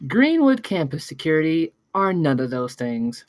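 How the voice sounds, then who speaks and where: A woman at a table read voicing an earnest, deadpan announcer for a recruitment video. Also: sits low, normal speed, dry recording, clean studio sound. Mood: solemn, deadpan.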